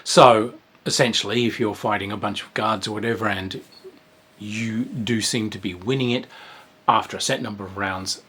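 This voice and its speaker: A man giving a casual, rambling review of a tabletop game, with a wry, dark humour and plenty of fillers and restarts. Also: fairly low pitch, steady tone, moderate pace, husky.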